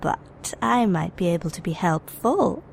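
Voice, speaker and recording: A woman acts a sly cat burglar for an audio drama. She speaks slowly and teasingly in a slightly low, seductive voice with plenty of fry, over faint noise. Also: animated. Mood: sly, guarded. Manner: slow, teasing, guarded. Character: sly, seductive female cat burglar, coolly self-serving. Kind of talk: fan audio drama acting. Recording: faint ambient noise behind voice.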